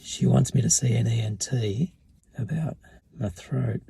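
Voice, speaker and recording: A middle-aged man with a hoarse voice he is losing, talking plainly and matter-of-factly in a casual vlog. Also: mid-range voice, a little slow, dark tone. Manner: plain, matter-of-fact talk with restarts. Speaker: vlogger. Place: in a car, then outdoors in a yard. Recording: noisy car and outdoor background.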